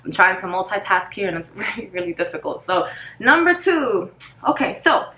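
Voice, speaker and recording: A woman's voice: mid-range voice, big pitch swings, normal speed, bright, forceful tone, echoey room, a little hiss or hum. A woman in her thirties whose first language is Spanish, chatting casually in a get-ready-with-me vlog while she does her makeup, rambling and losing her place now and then. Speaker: vlogger.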